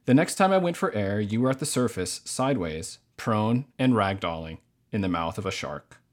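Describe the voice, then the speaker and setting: Guy with a lowish voice, novelist on a podcast, measured and thoughtful. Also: lively intonation. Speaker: novelist guest. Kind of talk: literary reading and thoughtful interview answers.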